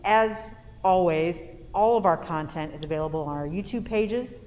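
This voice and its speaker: Lowish-voiced woman hosting in a hall. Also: normal speed, darkish tone. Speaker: theater director hosting and reading stage directions. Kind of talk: live event introduction and stage direction reading. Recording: reverberant hall, faint noise.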